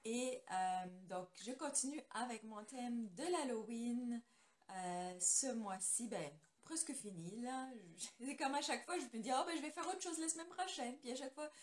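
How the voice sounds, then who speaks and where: A woman's voice: a little high, moderate pace. A woman from France hosting a live craft tutorial from her home studio, chatting loosely and greeting viewers by name. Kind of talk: casual live tutorial chat. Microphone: computer camera mic.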